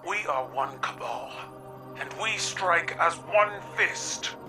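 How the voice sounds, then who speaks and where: A stern commanding empress. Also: male voice, on the high side, lively intonation. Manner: slow, commanding proclamations. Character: stern imperial empress addressing troops. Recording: distant voice over loud music.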